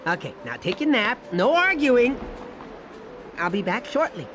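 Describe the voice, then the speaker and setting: A man voicing a goofy, boastful, soft-hearted male sloth in an animated game, theatrical and rambling. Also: extremely high pitch, a little slow, heavily acted. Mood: doting. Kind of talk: animated game character acting. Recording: music behind the voice.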